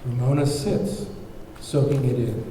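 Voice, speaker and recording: A man's voice: mid-range voice, fairly even intonation, measured pace, dark tone, slight background noise. A man reading screenplay stage directions as scripted narration, recorded from a distance in an echoey room. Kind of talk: scripted narration at a table read.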